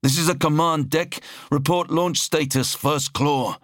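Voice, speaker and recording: A man's voice: on the high side, fairly even intonation, unhurried, a bit bright, no room sound, voice pushed up. A nervous human officer in a science-fiction audiobook, voiced by a male narrator. He speaks in a raised, dry, close voice, formal and dutiful. Strain shows beneath his controlled military reporting.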